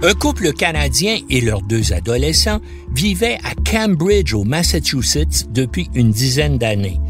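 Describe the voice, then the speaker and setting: A man's voice: a little high, big pitch swings, unhurried, music in the background. A man narrating a scripted documentary as a podcast host, in a dramatic storytelling manner.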